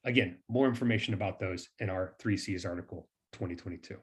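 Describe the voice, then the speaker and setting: A man's voice: sits low, quick pace. A man giving a scripted academic presentation as a researcher, explaining points in formal scholarly phrasing.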